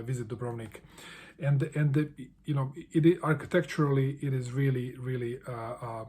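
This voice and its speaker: Guy answering a book tag, kinda husky and mellow, lots of stops and restarts. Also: medium pitch. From Bosnia. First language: Bosnian. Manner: halting, with frequent restarts and repeated words. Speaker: book vlogger.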